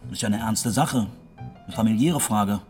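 An actor playing a chummy, boisterous kiosk keeper and fixer who likes a drink, in a German radio drama. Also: male voice, fairly low pitch, moderate pace, a little mellow, soft background music, lightly acted. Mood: confidential. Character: jovial hard-drinking kiosk keeper, fixer old schoolmate.

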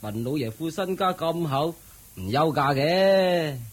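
An actor playing a curious, sympathetic village uncle in a Cantonese radio drama, exclaiming in a husky Hong Kong Cantonese voice. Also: male voice, fairly high pitch, animated, a little slow. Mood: curious, concerned. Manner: exclaiming, inquisitive.

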